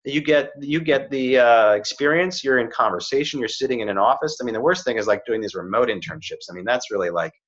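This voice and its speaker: A man's voice: medium pitch, fast talker, few pauses, a bit bright. Middle aged guy coaching on job hunting, earnest, keeps saying right and you know, kinda muffled sound. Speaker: career coach. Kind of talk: casual advice chat. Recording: slightly dull, muffled audio.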